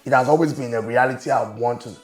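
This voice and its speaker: A man with a raspy voice, talking casually and confidently as a guest on an interview show. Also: mid-range voice, fast. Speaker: guest, business owner and show promoter. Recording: roomy echo with faint music.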